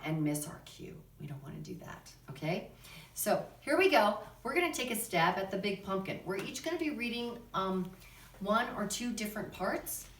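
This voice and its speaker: Woman teaching kids theater and reading story narration, lowish voice, echoey room, mic kinda far away. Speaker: drama teacher, presenter and narrator. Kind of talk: instructional talk and children's story narration. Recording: echoey room, distant microphone.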